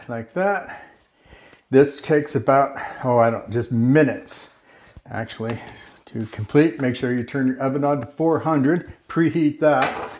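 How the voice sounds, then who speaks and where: Laid-back guy making little pizzas in his kitchen, talks slow, jokes around a bit. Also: medium pitch. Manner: relaxed and chatty, slips into a joking mock-Italian accent. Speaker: home cooking video host. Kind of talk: casual cooking demonstration.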